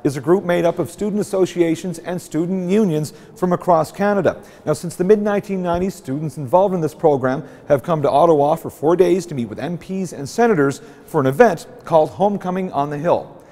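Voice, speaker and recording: A man's voice: fairly high pitch, brisk, few pauses, dark tone, in a large hall. A man host reading a scripted introduction for a news feature. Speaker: program host.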